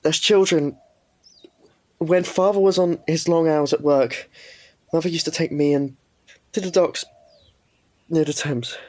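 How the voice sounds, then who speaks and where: Man acting an earnest, higher-voiced Victorian lad. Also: fairly even intonation, unhurried, pauses often, close to an everyday voice. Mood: wistful, hesitant. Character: earnest Victorian boy, wistful, remembering his mother. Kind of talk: audio drama acting.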